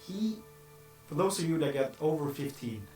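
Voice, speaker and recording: A man with a fairly high voice runs a tabletop roleplaying game, halting and doubling back on phrases. He is a little off the mic in a very roomy space. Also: animated, warm tone. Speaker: game master. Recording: roomy, distant mic, faint music. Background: faint music.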